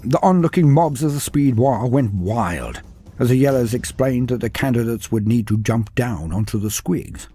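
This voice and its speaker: A man narrating a scripted story in a dramatic, animated way. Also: medium pitch, moderate pace, slightly rough, no room sound, soft background music.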